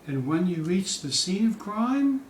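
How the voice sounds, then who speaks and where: A man giving a theatrical poetry recital, dramatic and suspenseful, with drawn-out phrases moving between hushed dips and loud peaks. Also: high voice, big pitch swings. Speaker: poetry reader. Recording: distant, echoey room with faint hiss.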